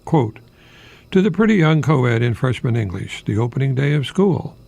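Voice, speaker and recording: An older man hosting a radio monologue, speaking haltingly in a whimsical, self-deprecating way with a husky, gravelly voice. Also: mid-range voice, lively intonation, mellow. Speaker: radio host, retired professor. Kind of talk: rambling humorous radio monologue with poetry readings.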